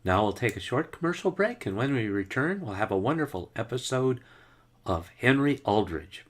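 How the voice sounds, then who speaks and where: A man's voice: medium pitch, big pitch swings, normal speed. A man hosting a radio show, giving a scripted introduction.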